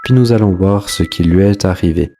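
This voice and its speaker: A man's voice: low voice, normal speed, background noise. A man giving scripted explanatory narration as a video host.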